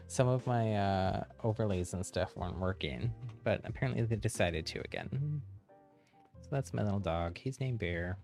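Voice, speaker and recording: A man's voice: lowish voice, a little slow, creaky, a little mellow, faint music behind. A man livestreaming a video game with casual, chatty, informal commentary. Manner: informal, often chuckles between remarks.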